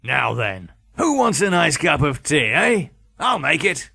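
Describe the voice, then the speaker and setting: A man voicing a bluff, chatty middle-aged estate agent with a gravelly, jovial manner in an audiobook. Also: fairly high pitch, big pitch swings, unhurried, pushed and bright, dry recording, studio quality, voice pushed up, heavily acted. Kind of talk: audiobook character voice.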